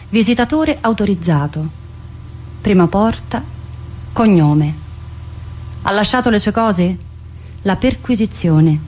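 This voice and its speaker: A woman's voice: medium pitch, darkish tone. A woman, an actress, reciting a theatrical monologue, in short clipped fragments. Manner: clipped, listing sensory fragments.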